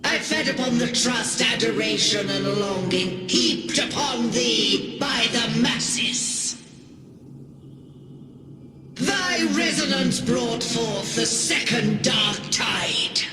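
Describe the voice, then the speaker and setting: A woman's voice: on the high side, lively intonation, slow pace, far from the mic. A woman voicing a cold, grandiose godlike villain in a video game, declaiming archaic speech with commanding weight through an otherworldly reverberant effect. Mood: grandiose, triumphant. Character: imperious godlike dark entity, grandiose, cold, archaic creator. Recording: vast echoing reverb, music underneath.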